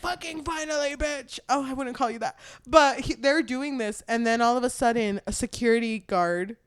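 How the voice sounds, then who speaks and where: A woman's voice: on the high side, moderate pace, clear, resonant tone. A woman co-hosting a book podcast in casual conversation, joking and swearing freely. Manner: irreverent, swears freely, jokey. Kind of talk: casual book discussion chat.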